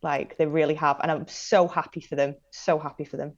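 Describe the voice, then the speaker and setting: A young woman in her late twenties speaks with a Northern English accent and a fairly low voice. She tells personal stories in a casual, enthusiastic, slangy way as a podcast guest. The recording is somewhat dull and lo-fi. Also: fairly even intonation, fairly quick. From northern England, Manchester and Blackpool area. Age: late 20s. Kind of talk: casual chat, personal anecdotes.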